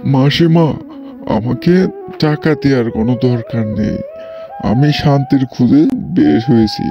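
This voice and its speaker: A man's voice: medium pitch, unhurried, close to an everyday voice. A man playing an earnest, helpful young village lad in an amateur cartoon. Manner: earnest, simple. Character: simple, helpful village lad seeking peace. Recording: dull audio, music behind.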